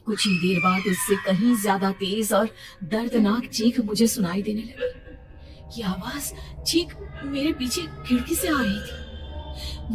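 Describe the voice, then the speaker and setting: A woman playing a frightened young pregnant woman, telling her horror story in a suspenseful, emotional, theatrical first-person style. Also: fairly high pitch. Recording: distant-sounding voice under loud music. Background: loud background music.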